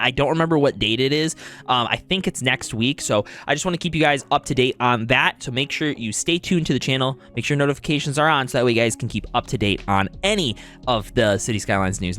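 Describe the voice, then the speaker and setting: A man's voice: mid-range voice, a bit bright. A man chatting casually as a gaming YouTuber, rambling with lots of fillers and restarts, his voice a little off from being sick. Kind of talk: casual commentary on a trailer. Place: home, storm outside.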